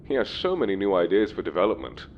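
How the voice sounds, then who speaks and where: Male actor as genial giant monster king, lowish voice, very swooping intonation, courteous, dull audio with rumbling noise. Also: huge pitch swings, fast, exaggerated voice. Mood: cheerful, encouraging. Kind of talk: comic dub voice acting.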